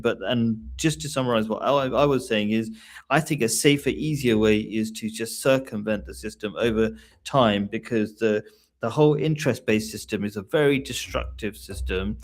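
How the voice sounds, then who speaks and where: A man from England hosting a live online discussion, asking questions and often restarting his sentences. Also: lowish voice. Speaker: host.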